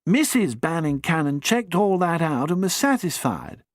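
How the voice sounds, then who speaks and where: A man's voice: a little high, very animated, moderate pace, dry recording, studio quality, pitched above the performer's own voice. A man voicing a cheerful young who gushes and stammers when caught out. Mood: breezy. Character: jolly young upper-class man, eager and flustered.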